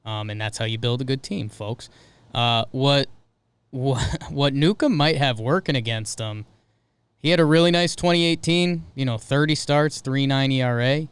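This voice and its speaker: Male podcast co-host, casual sports talk, crisp tone, very dry room. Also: medium pitch, normal speed, no room sound.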